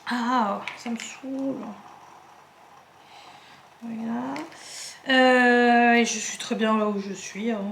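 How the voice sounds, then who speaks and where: A woman's voice: a little high, level tone, slow pace, long pauses, fairly bright tone, echoey room, a little hiss or hum. A woman in her twenties from the Paris suburbs, chatting casually and rambling while she sorts her craft supplies at home. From Paris suburbs, France. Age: 20s. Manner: chatty, rambling, self-interrupting. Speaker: craft vlogger.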